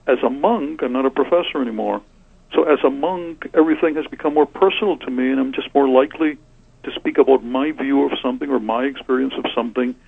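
A man in his sixties from Cuba, a Trappist monk answering interview questions in a soft, reflective way with many hesitations and restarts. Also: mid-range voice, fast, gravelly, slightly rough, no echo. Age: 60s. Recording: tinny phone line.

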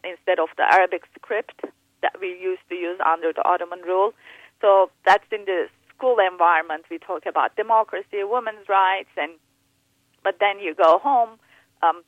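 A woman's voice: fairly low pitch, dry recording. Guest, female, hesitant and thoughtful, heard on a tinny phone call. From Turkey. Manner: hesitant, many fillers and restarts. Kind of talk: interview on faith and upbringing.

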